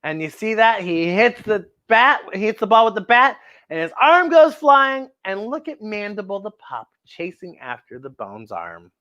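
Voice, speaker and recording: Animated woman reading to children. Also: medium pitch, moderate pace, highs cut off. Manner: animated, exclaiming, addressing young listeners directly. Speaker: host of a children's story time show. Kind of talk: expressive children's storybook read-aloud with commentary.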